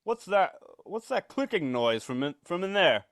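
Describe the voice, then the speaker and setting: A man's voice: sits high, very animated, normal speed, noisy background. A man playing a penny-pinching, anxious property owner in old-time radio suspense style, curt, skeptical and fretful. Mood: uneasy.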